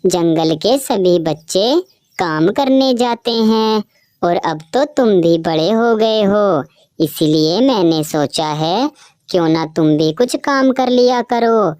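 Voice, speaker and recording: A woman's voice: mid-range voice, expressive, slow, darkish tone, clear, resonant tone, dry recording, clean studio sound. A woman voicing a caring female bird who raises her friend's orphan, emotional and outspoken. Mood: motherly. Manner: emotional, wailing, scolding. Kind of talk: cartoon voice acting.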